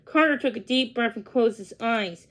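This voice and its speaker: A woman reading a children's storybook aloud, in a gentle, measured way. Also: on the high side. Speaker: storybook reader. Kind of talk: children's read-along narration.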